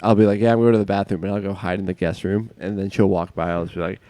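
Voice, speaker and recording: Lockerer, fluchender Mann im Podcast. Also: male voice, low voice, flat delivery, rapid-fire, mellow, no echo. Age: late 20s. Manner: loose, profane, joking. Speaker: podcast co-host. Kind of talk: casual chat.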